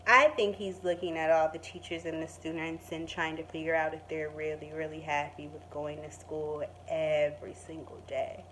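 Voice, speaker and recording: A woman teacher reading a story aloud to young children in an expressive, dramatic and emphatic way. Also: low voice, moderate pace, hardly any pauses, a little hiss or hum, low-quality recording.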